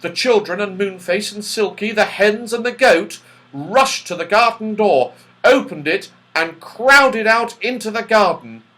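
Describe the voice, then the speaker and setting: Slow, very lively male storybook reader. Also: high voice. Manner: animated, carefully enunciated storytelling. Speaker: audiobook reader. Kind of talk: expressive children's storybook narration.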